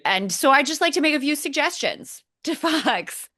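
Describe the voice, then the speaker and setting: A woman's voice: high-pitched, expressive, moderate pace, bright, forceful tone. A woman co-hosting a motorsport podcast, giving emphatic, critical commentary and explaining point by point. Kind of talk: opinionated podcast commentary on race broadcasts.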